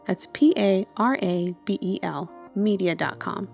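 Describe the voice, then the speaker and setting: A woman's voice: mid-range voice, measured pace, vocal fry, a little mellow. Woman podcast host over faint music. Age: 30s. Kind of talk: scripted podcast introduction.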